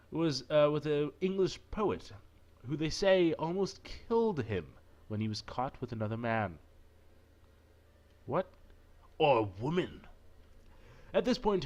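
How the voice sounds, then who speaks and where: A man's voice: medium pitch, darkish tone, muffled recording. Playful man narrating a visual novel let's play. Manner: playful, irreverent, swearing asides. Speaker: gaming video host. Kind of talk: casual visual novel let's play, reading aloud with joking asides.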